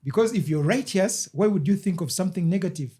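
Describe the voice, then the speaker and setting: A man rambling through a casual podcast chat, halting and restarting as he explains. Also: high voice, lively intonation, mellow.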